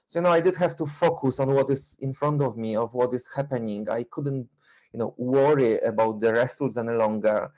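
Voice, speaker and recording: A middle-aged man from Poland with a Polish accent, speaking reflectively and haltingly in an interview, repeating words as he goes. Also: mid-range voice, a little mellow, lo-fi sound. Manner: halting, repeats words, non-native phrasing. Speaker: interview guest, endurance runner and coach.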